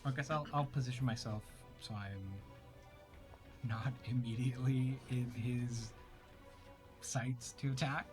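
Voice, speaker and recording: A man's voice: medium pitch, fairly even intonation, slow pace, pauses often. A man from Canada playing a tabletop game, chatting casually and thinking aloud as he works things out. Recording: music behind the voice. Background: background music.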